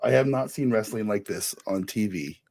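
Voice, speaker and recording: Male guest, hoarse from illness, gravelly and husky, relaxed delivery. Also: normal speed, no echo. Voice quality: raspy, deep. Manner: laid-back, swears casually. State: sick, hoarse. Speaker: guest podcaster. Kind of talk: casual chat.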